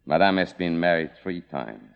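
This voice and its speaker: A man playing a grave, formal, protective manservant in a mid-century radio drama, speaking with measured deference. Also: low voice, animated, vocal fry, clear, resonant tone. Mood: grave, confiding. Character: solemn, formal, protective manservant to a faded star. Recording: dull vintage radio drama recording.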